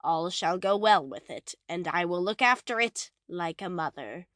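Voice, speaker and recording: A woman playing an imperious old witch in a fairy-tale audiobook, speaking slowly and deliberately. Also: on the low side, lively intonation, fairly bright tone, dry recording, clean studio sound. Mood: stern bargaining. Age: older. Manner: slow, deliberate, imperious delivery. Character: wrathful old witch, menacing and bargaining.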